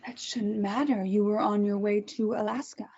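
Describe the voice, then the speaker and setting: A woman's voice: medium pitch, fairly even intonation, moderate pace, a little mellow, highs cut off, close to an everyday voice. A woman reading a cold, self-righteous captor at a table read, making clipped, controlled threats. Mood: menacing.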